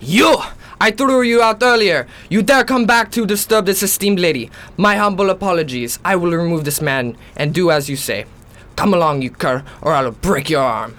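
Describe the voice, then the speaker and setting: A man's voice: high voice, normal speed, a bit bright. A man playing an innkeeper with florid courtesy and stern bluster in an audio drama. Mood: apologetic, deferential. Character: deferential male innkeeper, blustering and stern with troublemakers.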